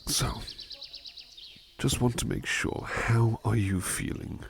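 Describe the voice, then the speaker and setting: A man playing a devoted, polite butler in an ASMR roleplay, speaking tenderly and hesitantly, with soft reassurance. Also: mid-range voice, slow, vocal fry, slight background noise. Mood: attentive, courteous. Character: devoted, gentle, polite male butler companion.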